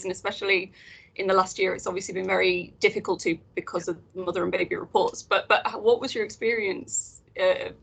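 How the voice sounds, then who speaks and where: A young adult woman is interviewing a senior academic in a friendly conversation. She asks her questions tentatively, pausing often and hedging as she goes. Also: mid-range voice, vocal fry, a bit bright. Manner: hesitant, hedging with fillers. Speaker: interviewer, early career researcher.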